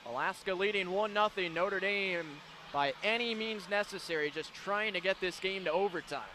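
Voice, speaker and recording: Man, radio hockey play-by-play, high-pitched voice, rapid clipped calls, shouted goal calls. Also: noisy background. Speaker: play-by-play announcer.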